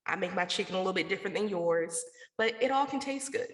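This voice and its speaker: A woman's voice: medium pitch, animated, fast. An American woman from the South talking casually and slangily as a guest in a radio interview. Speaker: interview guest, podcast network founder. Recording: echoey, reverberant room.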